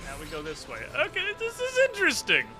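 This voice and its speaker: A man's voice: very high voice, wildly swooping pitch, fast. A man giving casual, joking gaming commentary as a streamer, with some swearing. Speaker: game streamer. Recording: music behind the voice. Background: game music.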